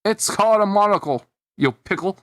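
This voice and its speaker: A man voicing an uppity nine-year-old asparagus boy in a high-pitched, pompous British voice for a cartoon fan fiction reading. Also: lively intonation, moderate pace, a bit bright, dry recording. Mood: snooty. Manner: pompous, haughty.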